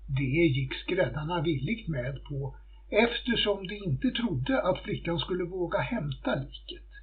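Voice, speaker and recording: A man's voice: fairly high pitch, animated, slightly rough. A man reading a scripted folktale as a storyteller. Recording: muffled phone-like audio.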